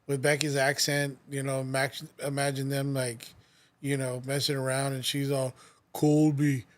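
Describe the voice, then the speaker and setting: A man's voice: medium pitch, monotone, a little slow, a little hiss or hum. A man hosting a podcast, telling stories in casual, rambling chat full of restarts. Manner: rambling storyteller, many restarts and "like". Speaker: podcast host.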